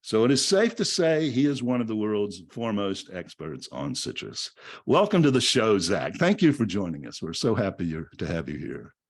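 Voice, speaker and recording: A male podcast host reads a scripted introduction and then chats with his guest. His voice is slightly husky, and his pitch rises and falls a lot as he leans on key words. He delivers it all in an emphatic, upbeat way. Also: moderate pace. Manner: lively, emphatic delivery with laughs.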